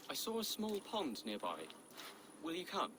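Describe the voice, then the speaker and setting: An actor playing a gentle, pious young nobleman in a video game, earnest and polite. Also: fairly high pitch, huge pitch swings, normal speed, slight background noise. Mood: pleading. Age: young adult. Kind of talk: dramatic video game acting.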